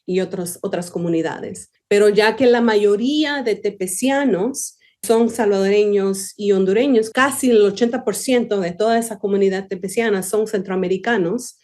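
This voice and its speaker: A woman from New York answers interview questions as a history professor, her pitch sitting at an ordinary middle level. She talks conversationally and keeps tagging her points with a quick question. Her voice is plain and mid-pitched. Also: a little mellow. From New York, USA. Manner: conversational, tags statements with questions.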